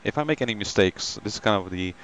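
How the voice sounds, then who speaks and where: A man's voice: fairly low pitch, lively intonation, fast, a bit bright, slight background noise. A man hosting a flight simulator live stream, chatting casually with many ums and greeting viewers by name.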